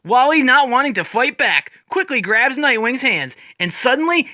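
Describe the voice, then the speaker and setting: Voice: sits high, big pitch swings, moderate pace, sharp tone, no echo, studio quality. A person giving a dramatic, scripted narration as a storyteller, retelling comic book scenes in the present tense.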